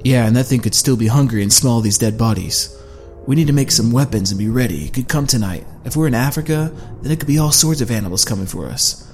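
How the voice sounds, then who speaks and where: A man's voice: medium pitch, expressive, fast, darkish tone, close to an everyday voice. A firm, level young man survivor who plans ahead and speaks plainly, as a male storyteller voices him. His delivery stays matter-of-fact. The story plays over faint music. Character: practical, take-charge young man survivor.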